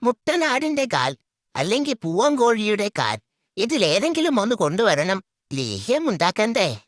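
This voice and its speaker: Woman voicing a sly, animated cartoon fox. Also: mid-range voice, a little slow, dry recording, clean studio sound. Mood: bossy, persuading. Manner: scheming, bossy, mock-authoritative. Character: sly, lazy male fox posing as healer. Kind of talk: children's cartoon story character.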